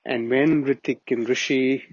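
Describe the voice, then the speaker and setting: A man's voice: mid-range voice, fairly even intonation, measured pace, slightly rough, dry recording. A middle-aged man giving an earnest, reminiscing memorial tribute as a family friend, often restarting his sentences. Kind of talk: personal spoken tribute. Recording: dull, muffled audio.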